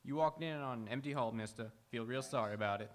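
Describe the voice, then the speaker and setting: A man's voice: mid-range voice, fast talker, close to an everyday voice. Man acting a chatty bowling alley keeper. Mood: casual. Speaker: radio drama actor. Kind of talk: live radio drama acting.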